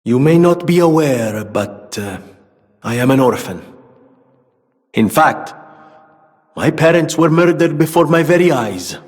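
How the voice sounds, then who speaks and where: A male actor playing an eccentric, haunted gentleman inventor in a video game, speaking in a theatrical, eloquent and earnest way. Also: a little high, expressive, a little slow, pauses often, big-room sound. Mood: haunted grief. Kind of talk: dramatic video game character dialogue.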